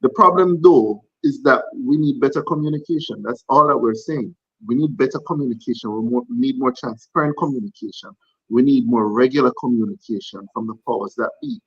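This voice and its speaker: A man on a cricket panel, measured and earnest, stressing the same point several times. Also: mid-range voice, husky, dry recording, dull audio. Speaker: cricket pundit. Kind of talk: panel discussion.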